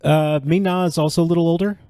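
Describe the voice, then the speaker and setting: A man's voice: a little high, steady tone, quick pace, mellow, clear, resonant tone, dry recording, clean studio sound. A man co-hosting a podcast in casual, conversational chat. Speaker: podcast co-host.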